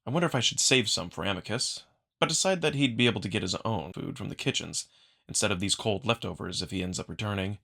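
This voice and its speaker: A man's voice: lowish voice, lively intonation, fast talker, vocal fry, pushed and bright. A man reading first-person narration from a visual novel in a dramatic storytelling style. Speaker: narrator and performer.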